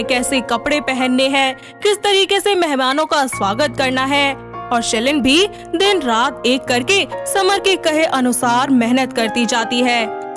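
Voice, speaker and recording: A woman reading a scripted moral story as its narrator. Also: sits high.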